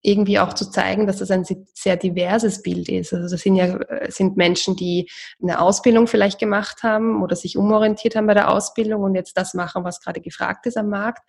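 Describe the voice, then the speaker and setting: A woman's voice: mid-range voice, little pitch movement, fairly quick, vocal fry, darkish tone, breathy edge. A woman who runs a charity association, answering interview questions hesitantly with many fillers and restarts.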